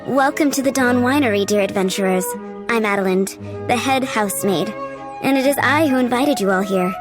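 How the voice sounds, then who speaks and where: Actress as a head housemaid, higher-pitched female voice, polite, composed, measured, formal, video game character. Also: music in the background, lightly acted. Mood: welcoming.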